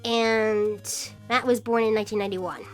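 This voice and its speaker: A woman's voice: medium pitch, steady tone, moderate pace, faint music behind. A woman in her twenties chatting casually as a vlog host, rambling and hesitant as she talks. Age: 20s. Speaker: vlogger and podcast host. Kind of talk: casual shoutout vlog chat.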